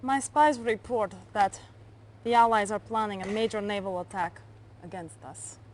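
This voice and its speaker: A woman acting a cunning Soviet spy chief in a video game. Her mid-pitched voice is controlled, clipped and coolly menacing, with a faint layer of noise in the old cutscene audio. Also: normal speed, lightly acted. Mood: brisk, commanding. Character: cold, cunning female Soviet intelligence chief.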